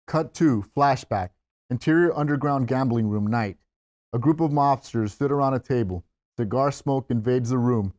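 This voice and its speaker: A man's voice: medium pitch, normal speed, a little mellow, no echo, studio quality. A man reading stage directions as narrator at a script table read, in a scripted narration style.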